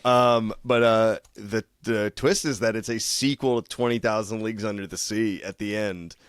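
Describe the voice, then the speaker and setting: Young guy cohosting a podcast, kinda low voice, rambles and laughs, dry close mic. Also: fairly even intonation, moderate pace, smooth, no room sound. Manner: rambling, laughs often, swears casually. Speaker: podcast co-host. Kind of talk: casual chat.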